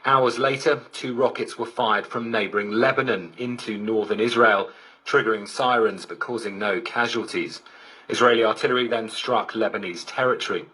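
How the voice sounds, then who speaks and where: A male correspondent reads a scripted report in a fairly low voice. The delivery is bright and forceful with a rough-edged tone, received on digital shortwave radio. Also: steady tone, moderate pace, rough recording. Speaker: news correspondent.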